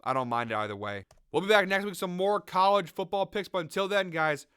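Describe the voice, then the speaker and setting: Man, sick, raspy and nasal, conversational betting picks, bright forceful voice, dry close sound. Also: high-pitched, expressive, fast, no room sound. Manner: conversational, hedging, frequent fillers. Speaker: sports betting show host. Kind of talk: casual picks commentary to camera.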